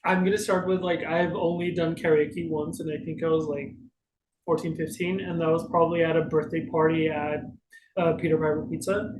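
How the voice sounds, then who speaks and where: A man's voice: on the high side, flat delivery, a little fast, a little mellow. A man co-hosting a casual question-and-answer chat in a hesitant, self-deprecating way. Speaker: podcast co-host. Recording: echoey room, faint noise.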